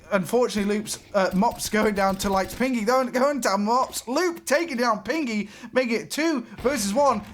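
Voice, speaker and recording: A man casting a live esports match with excitable play-by-play, his voice getting a little raspy. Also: sits high, slight background noise. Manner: excitable, rising sharply on big plays, laughs between calls. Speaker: esports caster.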